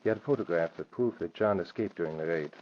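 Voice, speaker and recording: An actor in an old-time radio drama playing a scheming casino owner, formal and persuasive in manner. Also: male voice, low voice, quick pace, natural voice. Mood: grave, persuasive. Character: smooth-talking, scheming male gambling casino owner. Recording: dull, noisy old radio recording.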